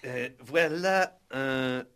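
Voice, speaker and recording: An actor playing a fussy, eager-to-help school supervisor in a 1960s French radio play, hesitant, stammering and effusively polite. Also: male voice, medium pitch, extremely slow, a bit bright.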